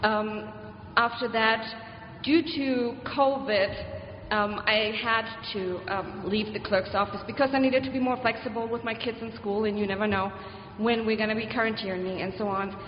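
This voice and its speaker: A woman's voice: mid-range voice, steady tone, moderate pace, a little hiss or hum. A woman in her thirties from Germany with a German accent, speaking hesitantly with fillers as a candidate giving an opening statement. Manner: hesitant, with soft laughs and fillers. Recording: echoey hall, dull sound.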